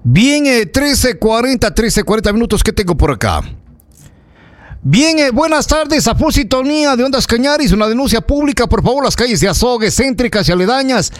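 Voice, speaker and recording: A man's voice: extremely high pitch, animated, moderate pace, dry recording. A man with an Ecuadorian accent hosting a local radio programme, giving indignant, emphatic commentary to listeners and repeating phrases for effect. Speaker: local radio news host. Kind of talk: live opinionated radio commentary, addressing listeners.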